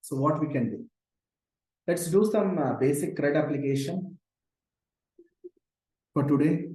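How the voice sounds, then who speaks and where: A hoarse man teaching a live online coding class, explaining patiently with many fillers like "okay" and "uh". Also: fairly high pitch, a little slow, warm tone. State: hoarse from shouting. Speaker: programming instructor. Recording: roomy echo.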